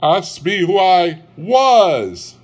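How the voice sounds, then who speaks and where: A man voicing the ghost of a dead old miser, weighed down by chains, speaking slowly and mournfully. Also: high-pitched, big pitch swings, pitched above the performer's own voice, full character voice. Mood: solemn. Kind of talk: audiobook character voice.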